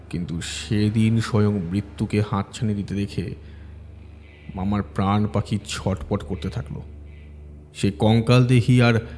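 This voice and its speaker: A man's voice: on the low side, dark tone, long reverb tail, slight background noise. A man narrating a horror audio story in a dramatic, suspenseful way.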